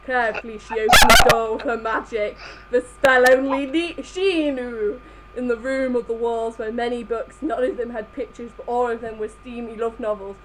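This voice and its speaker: A woman's voice: on the high side, fairly even intonation, moderate pace, background noise. A woman reading fan fiction aloud live, stumbling over the text as she goes. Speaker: reader in a group comedy reading.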